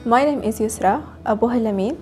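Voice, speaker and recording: Woman from Sudan, soft-spoken and quick, mellow tone. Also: on the high side, soft background music.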